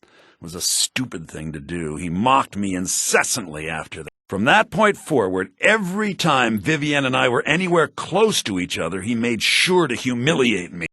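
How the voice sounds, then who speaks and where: An actor playing a haughty, grumbling fantasy townsman in a video game, theatrical and sardonic, in low-bitrate audio. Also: big pitch swings, normal speed, pushed and bright, no room sound, clean studio sound. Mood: resentful. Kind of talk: video game character voice lines.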